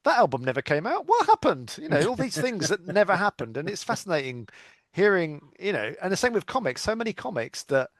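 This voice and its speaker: A man in casual podcast conversation, his voice a little high and swinging widely in pitch. He is animated and likes to act out reactions. The recording is close, with no room sound. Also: fairly quick, no room sound. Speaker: podcast panellist. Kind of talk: casual chat.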